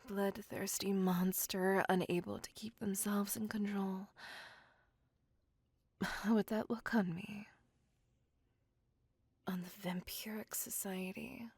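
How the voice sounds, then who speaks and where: Slow, whispering vampire seductress played by a woman. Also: medium pitch, steady tone, darkish tone, dry recording. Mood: hungry, restrained. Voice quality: breathy, whispery, husky. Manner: formal old-fashioned wording, slow and breathy. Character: refined, possessive, smitten female vampire courting a human. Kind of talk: ASMR roleplay, whispered and intimate.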